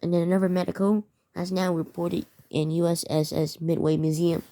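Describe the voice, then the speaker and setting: A woman with a fairly low, very mellow voice reads out amateur emergency bulletins as a narrator. The delivery is halting, with words often stumbled over and said again. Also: flat delivery. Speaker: narrator of a fictional emergency alert scenario.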